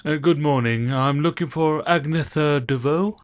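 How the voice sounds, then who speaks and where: A man's voice: on the high side, normal speed, lightly acted. A man playing a formal, hesitant lord in an audio drama, with a stiff, polite manner. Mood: formal, uneasy.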